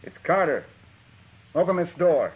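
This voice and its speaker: A man's voice: on the high side, big pitch swings, a little slow. An actor playing a belligerent, possessive townsman in an old-time radio western, indignant and demanding. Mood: angry, demanding. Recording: dull, noisy vintage radio recording.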